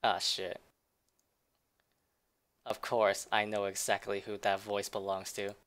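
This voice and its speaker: A woman reading a visual novel aloud in a game playthrough, voicing the inner narration with quick reactions. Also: rumbling low, flat delivery, fairly bright tone. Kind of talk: let's play narration.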